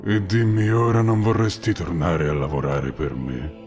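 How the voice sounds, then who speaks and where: A man voicing an ancient, stern father of homunculi in an anime fan dub, gravelly voice. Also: deep voice, little pitch movement, moderate pace, music in the background, lightly acted.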